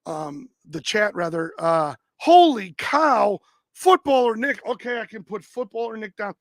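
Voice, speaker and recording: High-pitched man with a dry rasp. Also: lively intonation, unhurried, fairly bright tone, dry recording. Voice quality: dry, raspy voice. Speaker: livestream host. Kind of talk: casual livestream chat and clip commentary.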